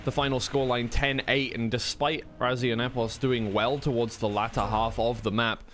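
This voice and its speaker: A man's voice: medium pitch, fairly even intonation, normal speed, a bit bright. A man giving live commentary on a racing game in an informal, slightly flustered way, thinking aloud between calls. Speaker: esports commentator and streamer. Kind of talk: live racing game commentary mixed with casual stream chat. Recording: clear noise, game car engines behind.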